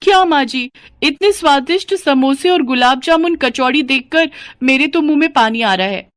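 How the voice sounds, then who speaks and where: Lady doing a spoiled foodie young bahu for a kids cartoon, whiny, carefree, high voice. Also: expressive, moderate pace, fairly bright tone, dry recording, clean studio sound. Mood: greedy, dismissive. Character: gluttonous, carefree, defiant young daughter-in-law. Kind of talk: cartoon moral story.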